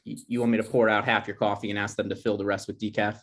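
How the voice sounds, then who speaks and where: A man plays a touchy grown son and socially awkward host in a comedy read-through. He is defensive and quick to argue, speaking in an everyday conversational voice. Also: on the low side, flat delivery, close to an everyday voice. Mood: testy. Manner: defensive, argumentative. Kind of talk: comedy script table read.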